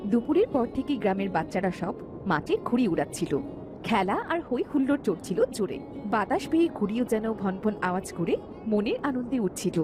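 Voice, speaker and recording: A woman telling a cartoon story in gentle, scripted storybook narration. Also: medium pitch, sing-song, fairly quick. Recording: narration over loud music.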